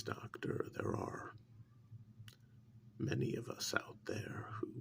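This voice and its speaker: A man playing a sinister, menacing agent in an ASMR role-play, speaking softly in a breathy, gravelly half-whisper with deliberate pauses. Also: fairly low pitch, heavily acted. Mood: knowing, brooding. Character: sinister male agent coolly interrogating and blackmailing a mad scientist. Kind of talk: soft-spoken ASMR role-play monologue.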